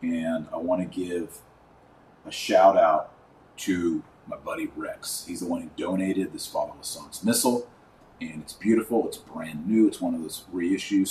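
A man's voice: low-pitched, darkish tone. An American man hosting a podcast, giving an informal, opinionated monologue and emphatically listing points with repetition. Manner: emphatic, repeats and lists items for effect. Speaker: podcast host; online course teacher. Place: indoors at a desk. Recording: roomy echo, distant mic, faint noise.

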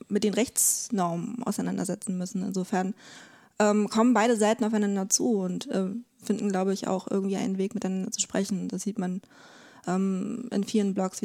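A young woman speaks as a guest in a relaxed interview. Her voice sits at an average pitch for a woman. She explains things conversationally, with a wry, self-ironic edge. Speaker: interview guest, research associate.

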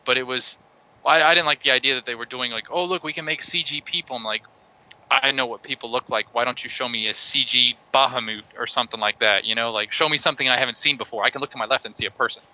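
A man on a podcast panel in a casual debate, ranting in an animated, mildly profane way. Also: mid-range voice, fast, poor audio.

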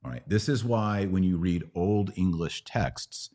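Casting: American male podcast host, middle-aged, deep register, conversational religious teacher who checks the listener follows. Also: unhurried, dark tone. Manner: didactic, checks in with "right?". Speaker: podcast host answering Bible questions. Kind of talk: casual explanatory religious teaching.

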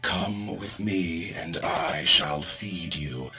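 A man voicing a cold, weary alien conqueror from deep space, speaking slowly and gravely. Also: pushed and bright, faint music behind, heavily acted. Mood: cold, solemn. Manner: slow, grave and solemn. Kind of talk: storybook reading.

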